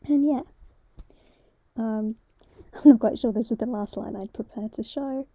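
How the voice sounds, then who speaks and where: Very mellow, husky-voiced woman chatting casually. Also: fairly high pitch, measured pace, dry recording. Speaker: amateur voice actor. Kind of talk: unscripted casual storytelling to viewers.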